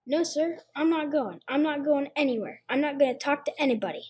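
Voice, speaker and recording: A woman's voice: sits high, fairly quick, a little mellow, close to an everyday voice. A woman playing simple, anxious hotel folk in an amateur radio play, speaking in a hesitant, plain-spoken way. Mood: scared, defensive.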